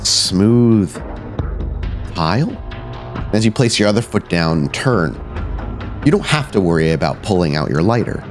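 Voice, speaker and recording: A man working as game master, improvising suspenseful, deliberate narration for a horror tabletop roleplaying game. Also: deep voice, lively intonation. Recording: music behind the voice. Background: background music.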